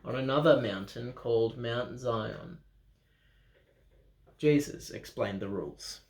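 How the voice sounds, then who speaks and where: A man reading a children's Bible story aloud in an animated way, with dramatic pauses, expressive emphasis and playful asides. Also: mid-range voice, creaky. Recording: roomy, echoing room sound.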